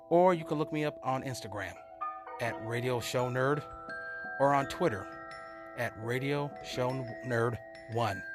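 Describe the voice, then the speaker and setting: A male show host with a mid-pitched voice gives a scripted outro. Mallet-percussion music plays clearly behind him. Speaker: podcast host.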